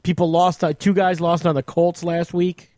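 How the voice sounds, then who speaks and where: A man's voice: high-pitched, little pitch movement, normal speed, dark tone, no room sound, studio quality. A man hosting a podcast, talking sports casually in blunt, profane language. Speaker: podcast host.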